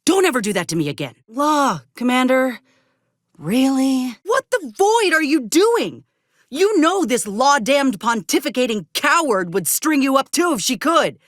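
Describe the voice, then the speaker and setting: An actress playing a tough, guarded, weary former combat medic in a video game, with a folksy, blunt, sardonic way of talking. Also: female voice, high-pitched, big pitch swings, unhurried, dry recording, clean studio sound. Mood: angry, indignant. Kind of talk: video game character acting.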